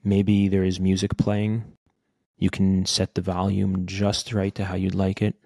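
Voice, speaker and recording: A man with a deep, low-pitched voice guides a meditation. His delivery is gentle and unhurried, with little rise and fall, and he leaves long pauses between short phrases. The recording is close and dry. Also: monotone, no room sound. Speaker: podcast host guiding a meditation.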